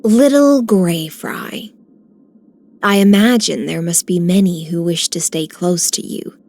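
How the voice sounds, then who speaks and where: Low, mellow, gracious fantasy lady. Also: slow pace. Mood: fond, inviting. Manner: elegant, poetic. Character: poised, gracious woman of the sea, fantasy game. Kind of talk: scripted game character voice lines.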